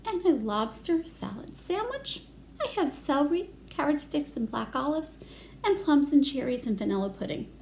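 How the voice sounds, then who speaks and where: A woman's voice: sits high, sing-song, moderate pace, darkish tone, slight background noise. A woman voicing an earnest, singsong young girl character from a children's picture book. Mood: pleased.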